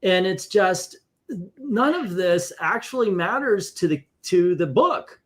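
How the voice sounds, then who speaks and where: A man in his fifties hosting a casual comic book chat, wry and quick to mock clunky dialogue. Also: high-pitched, animated, a bit bright. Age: 50s. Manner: wry, reads comic dialogue aloud mockingly. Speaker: comic book podcast host.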